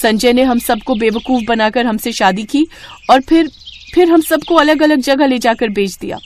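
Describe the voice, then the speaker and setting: Casting: female reader as worldly wronged wife in a moral story, high pointed delivery, bitter, faint birds behind. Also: moderate pace, slight background noise, natural voice. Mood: bitter, scornful. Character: knowing, bitter abandoned wife, worldly older-sister type. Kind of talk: melodramatic story dialogue.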